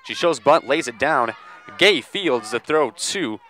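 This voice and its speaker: A man calling a softball game live on the radio, measured between plays and ready to burst into excited calls. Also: medium pitch, soft background music. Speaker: play-by-play sports commentator.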